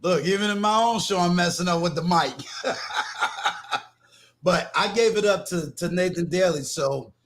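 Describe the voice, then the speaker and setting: A man's voice: high-pitched, normal speed, long pauses, a bit bright. A man in his fifties who hosts the show, talking casually and laughing at himself. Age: 50s. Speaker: show host. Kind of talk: casual panel discussion.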